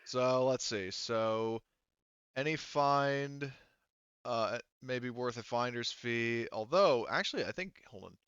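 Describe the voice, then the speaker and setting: A man's voice: mid-range voice, slow pace, fairly bright tone, clear, resonant tone, no room sound. A man acting as game master, casually refereeing a tabletop game and thinking aloud in a hesitant way. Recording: band-limited, dull dry audio.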